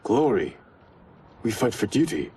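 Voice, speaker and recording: A man's voice: on the low side, sing-song, unhurried, warm tone, a little hiss or hum. An actor playing a dutiful young male ninja who speaks earnestly about duty. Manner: earnest, principled.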